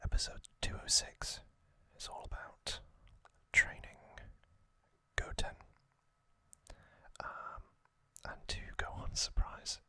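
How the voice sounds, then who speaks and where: A man whispering an unhurried ASMR recap, with soft pauses and frequent ums. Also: dry recording. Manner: unvoiced whisper, slow and relaxed with frequent ums. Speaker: ASMR channel host.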